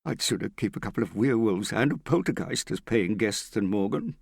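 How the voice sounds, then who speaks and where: A male reader puts on an exasperated young female character, fretful and complaining. The pitch is lifted well up, in audiobook style, with a dry recording. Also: lively intonation, fairly quick, vocal fry, husky, no room sound, exaggerated voice. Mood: exasperated, near tears.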